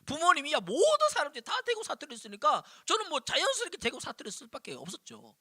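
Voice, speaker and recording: High, animated Korean youth pastor. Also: male voice, fast talker, no echo, clean studio sound. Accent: Seoul Korean, slight Daegu traces. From Daegu, South Korea. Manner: animated storytelling, punchy repetition. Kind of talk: sermon to high schoolers. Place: church.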